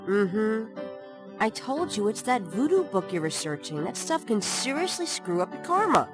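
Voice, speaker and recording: Casual: snarky young shop girl in an old point-and-click game, high-ish voice that swings all over, music playing under her. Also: sing-song, moderate pace. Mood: sarcastic. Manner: dry, teasing, sarcastic. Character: sharp-tongued young woman shop assistant, sarcastic. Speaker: bookshop assistant in a game.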